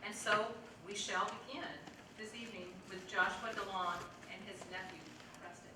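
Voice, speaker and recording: A woman's voice: medium pitch, steady tone, normal speed. A woman hosting an event, giving a heartfelt, formal introduction of the main speaker. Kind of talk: prepared introduction speech. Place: event hall with audience. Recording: echoey hall from a distance, background noise.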